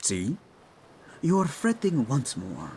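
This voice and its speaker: A man's voice: mid-range voice, wildly swooping pitch, a little mellow, a little hiss or hum. An actor playing a devoted, teasing king and husband in an intimate romantic audio roleplay, speaking tender endearments. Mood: reassuring. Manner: tender, playful, unhurried endearments.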